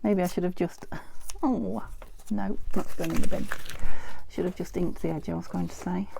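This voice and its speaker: A British woman hosting a crafting vlog, croaky from a cold, chatting and muttering to herself as she works. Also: on the low side, fairly even intonation, moderate pace, mellow, slight background noise. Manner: muttering to herself while working, often dropping to a whisper. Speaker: crafting channel host.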